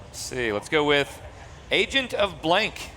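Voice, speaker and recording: A man's voice: fairly high pitch, very animated, slow, pushed and bright. A man co-hosting a live podcast on stage, chatting casually about games in an emphatic, rambling way. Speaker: podcast co-host. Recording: live stage mic with faint noise.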